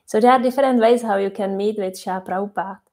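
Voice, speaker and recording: A woman whose first language is Czech, guiding a meditation slowly and softly, repeating her phrases with long pauses. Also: medium pitch. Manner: slow, soft and repetitive, with many pauses. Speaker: meditation guide. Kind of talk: guided meditation.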